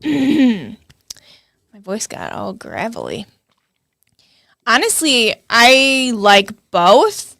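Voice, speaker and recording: Higher-voiced, lively female co-host, unhurried. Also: no echo, clean studio sound. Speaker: co-host of a live advice show. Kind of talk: casual chat, giving opinions.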